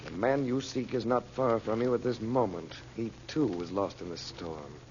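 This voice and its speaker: An actor playing a calm, authoritative middle-aged uncle who is also a magician, in old radio serial style. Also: male voice, on the low side, moderate pace, natural voice. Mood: measured, reading. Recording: noisy muffled old radio recording.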